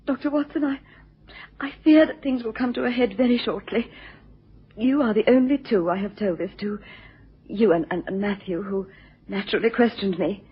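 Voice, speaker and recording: A woman's voice: mid-range voice, moderate pace, slightly rough. An actress playing a distressed, genteel wife in a radio drama, hesitant and pleading as she seeks help. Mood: anxious, beseeching. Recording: muffled lo-fi radio recording.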